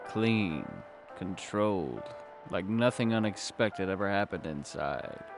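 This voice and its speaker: Man playing a slow, gravelly noir private eye. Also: deep voice, lively intonation, exaggerated voice. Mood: brooding. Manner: brooding, deliberate, wry. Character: world-weary, hard-boiled male noir private detective. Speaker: narrator and lead detective. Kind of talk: noir audio drama narration. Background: music.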